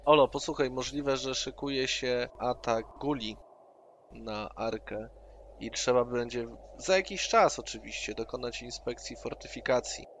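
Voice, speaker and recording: A man's voice: mid-range voice, unhurried, fairly bright tone. A man with a mid-range voice talks his companion round in an online tabletop game. He is coaxing and persuasive and keeps repeating the other man's name. Faint noise sits under the session audio. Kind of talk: in-character roleplay, persuasive schemer.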